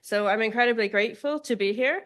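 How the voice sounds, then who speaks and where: A middle-aged woman with a Northern Irish accent, giving an academic lecture as guest speaker in a measured, self-deprecating way. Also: a little high, a bit bright. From Northern Ireland. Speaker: guest speaker, law professor.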